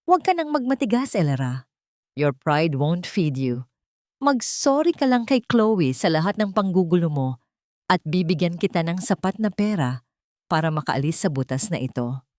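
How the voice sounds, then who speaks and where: A woman's voice: fairly low pitch, very animated, normal speed, a little mellow, no echo, studio quality. A woman narrator voicing an arrogant, hot-tempered rich ex-boyfriend in an audio story, haughty and scornful.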